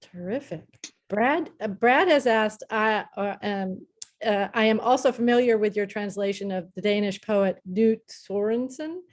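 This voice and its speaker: A woman moderating a video call, reading out audience questions in a brisk, plain way. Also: mid-range voice. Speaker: event moderator.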